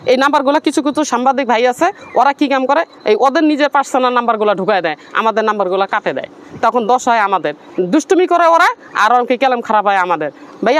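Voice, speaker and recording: A woman from Bangladesh, answering interview questions in a rapid, earnest, pleading way. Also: high-pitched.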